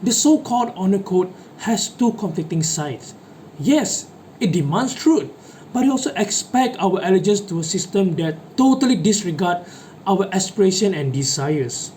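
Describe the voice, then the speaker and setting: A man's voice: high-pitched, animated, moderate pace, darkish tone. A man performing a dramatic monologue as a guilt-ridden schoolboy defending his betrayal of friends, in an earnest, emphatic, formal delivery. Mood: defensive. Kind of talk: dramatic monologue, reader's theatre. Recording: noticeable background noise.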